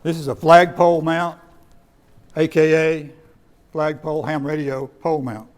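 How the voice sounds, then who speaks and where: A man's voice: fairly high pitch, little pitch movement, a little slow. A man presenting informally at a meeting, explaining in a conversational way and often repeating words as he goes. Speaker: presenter of radio kits at a club meeting. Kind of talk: informal presentation with audience questions. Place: indoor meeting room.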